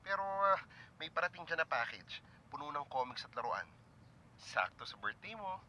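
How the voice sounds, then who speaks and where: A man voicing an affectionate, hurried, apologetic father working away from home in a cartoon, with a Filipino accent. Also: on the high side, very animated, bright, forceful tone, slight background noise, exaggerated voice. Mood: apologetic, coaxing. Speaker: father character in animated film. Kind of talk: natural cartoon dialogue.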